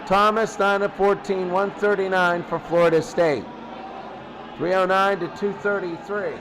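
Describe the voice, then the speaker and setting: A man hosting a sports show, giving off-the-cuff football score commentary in clipped fragments with pauses. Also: high-pitched, fairly even intonation. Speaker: sports studio host. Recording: clearly noisy background.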